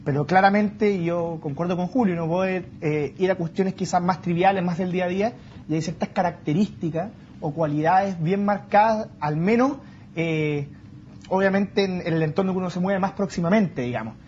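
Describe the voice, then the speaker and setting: High-voiced man on a panel. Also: normal speed, darkish tone. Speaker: youth panelist. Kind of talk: panel discussion. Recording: dull sound with faint background noise.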